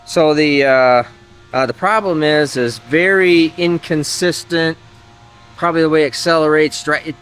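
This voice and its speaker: A man's voice: on the high side, normal speed, a bit bright, smooth, soft background music, poor audio. A man hosting an engine-building video, chatting casually to viewers and thinking aloud, often trailing off mid-sentence. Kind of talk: casual shop talk to viewers. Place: engine workshop.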